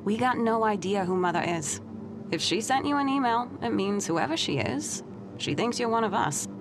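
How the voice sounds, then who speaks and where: Tough, expressive vigilante woman. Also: fairly high pitch, moderate pace, noisy background. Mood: guarded, matter-of-fact. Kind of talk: horror audio drama acting.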